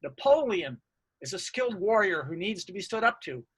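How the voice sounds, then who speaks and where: A high-pitched man's voice with a bright, forward edge reads a scripted academic paper. He sounds formal and orderly, as a researcher presenting findings. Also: sing-song, moderate pace, husky. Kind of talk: scripted academic lecture narration.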